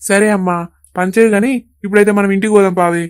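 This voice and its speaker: A woman's voice: medium pitch, steady tone, fast talker, clear, resonant tone, pitched below the performer's own voice, lightly acted. A woman voicing an earnest, anxious young adult son in a cartoon, with a Telangana Telugu accent. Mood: happy.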